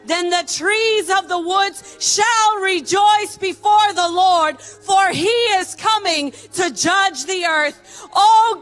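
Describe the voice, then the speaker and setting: A woman's voice: extremely high pitch, a bit bright. A woman in her thirties reading a psalm aloud in church into a handheld mic, with declamatory, emphatic proclamation. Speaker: church reader. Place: church stage, indoors. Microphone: handheld microphone. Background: music.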